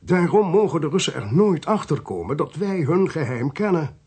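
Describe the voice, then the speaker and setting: A male actor in a Dutch radio play, voicing a cold, smooth-talking, ruthless intelligence official in a measured, condescending way. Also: on the high side, very animated, a little mellow, heavily acted. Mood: confiding, grave.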